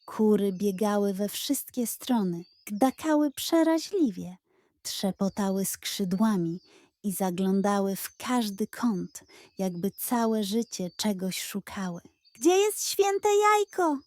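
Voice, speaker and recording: Slow-paced woman storyteller for children. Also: medium pitch, dry recording. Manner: expressive, unhurried storybook delivery. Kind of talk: scripted narration of a children's audio tale.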